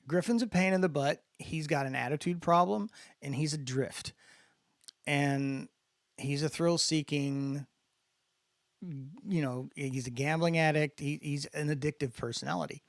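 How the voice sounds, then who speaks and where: Man giving an expressive dry-recorded reading. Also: on the high side, a little slow, vocal fry, no echo. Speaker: narrator reading own book aloud. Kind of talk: casual introduction, then dramatic audiobook-style reading.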